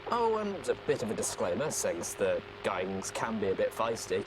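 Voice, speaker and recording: A man's voice: lowish voice, sing-song, normal speed, background noise. A man hosting a show in the style of a radio DJ, introducing it and addressing listeners as friends. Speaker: show host in radio DJ persona. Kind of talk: post-apocalyptic radio DJ introduction.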